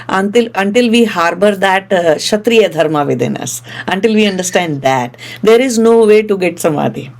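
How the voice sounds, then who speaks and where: A woman's voice: low-pitched, gravelly, a little mellow. A woman hosting a podcast, speaking earnestly and effusively as she introduces her guest. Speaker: podcast host. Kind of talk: interview introduction and questions.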